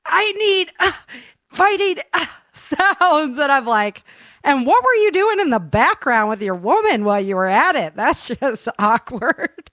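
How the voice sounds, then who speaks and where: A woman's voice: high-pitched, very animated, measured pace, a bit bright, no echo. Chatty, giggly woman podcast host, close and dry. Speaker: podcast host and audio drama creator. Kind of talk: casual chat.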